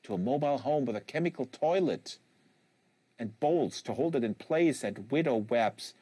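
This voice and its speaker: Man reading as a bitter, needling father, mellow voice. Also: medium pitch, lively intonation, breathy edge, no echo. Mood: sarcastic, aggrieved. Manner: halting, repetitive, goading. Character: bitter, needling, rambling father berating his tearful son. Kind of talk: dramatic novel reading, monologue.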